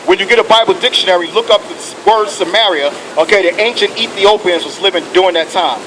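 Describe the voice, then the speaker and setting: A high-voiced man preaches on a busy city street, fiery and profane. He keeps pushing his listeners with "okay" and "come on". Traffic rumbles loudly around him. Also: fast talker. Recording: loud street noise outdoors.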